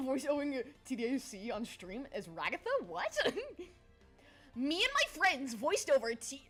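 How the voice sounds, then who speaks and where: A woman's voice: high-pitched, sing-song, a little slow, fairly bright tone, faint music behind. A young adult woman streaming, chatting casually with viewers, giggly and scatterbrained, jumping between topics. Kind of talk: casual livestream chat.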